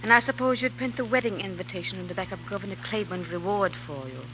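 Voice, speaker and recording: A woman's voice: medium pitch, fast talker, noisy background, close to an everyday voice. An actress playing an earnest young woman in love in a 1938 radio drama, pleading and heartfelt. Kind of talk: 1930s radio drama acting. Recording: dull old radio broadcast recording.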